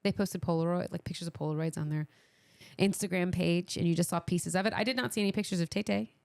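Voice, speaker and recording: A woman's voice: fairly low pitch, steady tone, fast, creaky, a little mellow, dry recording. A middle-aged woman co-hosting a podcast, trading casual banter in a blunt, informal way. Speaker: podcast co-host.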